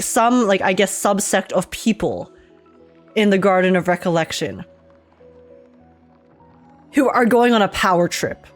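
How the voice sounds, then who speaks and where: A young adult woman giving casual game reaction commentary, chatty and informal, thinking aloud as she goes. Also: medium pitch. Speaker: gaming streamer. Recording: music playing behind the voice.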